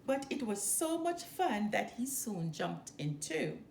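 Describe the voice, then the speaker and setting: A woman reads a children's story aloud at a slow pace with very lively intonation. Her voice is fairly high and sits in an echoey room with light noise. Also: vocal fry. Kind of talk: expressive children's read-aloud. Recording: roomy echo, background noise.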